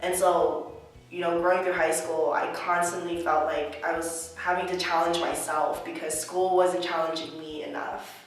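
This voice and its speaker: An earnest young woman talks through her student experiences in an interview, reflective and thoughtful, padding her answers with filler words. Her delivery stays flat and even. She sounds distant from the microphone in a very reverberant room. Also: on the low side, normal speed. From United States. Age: young adult. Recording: echoey room, mic at a distance.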